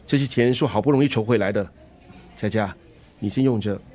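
A man's voice: fairly low pitch, fairly quick, mellow, natural voice. An actor playing a breezy, caring middle-aged father and musician in a radio drama, flippant, with a Singaporean accent. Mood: earnest. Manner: flippant, bantering. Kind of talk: Mandarin radio drama acting.

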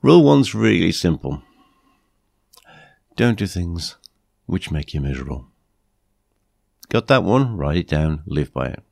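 A British man hosting a podcast with a blunt, plain-spoken manner, his voice croaky from flu. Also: sits low, big pitch swings, a little slow, pauses often, a little mellow, no room sound, clean studio sound. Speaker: podcast host. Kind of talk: podcast monologue, anecdotes and advice.

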